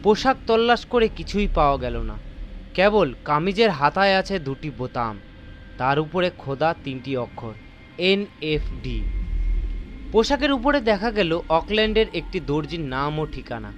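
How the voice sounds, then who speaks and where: A man's voice: a little high, very animated, measured pace, slight background noise. A man narrating a mystery story in a theatrical, suspenseful, scripted style. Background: faint music.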